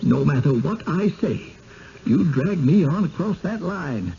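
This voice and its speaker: An actor playing a frightened, stubborn old village man with a rural American accent and a folksy, halting manner in a radio drama. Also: fairly high pitch, expressive, vocal fry, warm tone. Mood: anxious. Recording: muffled, slightly noisy vintage radio recording.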